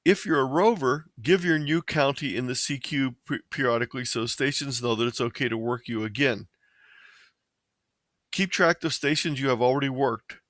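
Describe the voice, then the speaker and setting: A man's voice: medium pitch, normal speed, fairly bright tone, no room sound. An American man giving an instructional presentation in a plain, methodical way. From Ohio, United States. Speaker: presenter of an amateur radio tutorial.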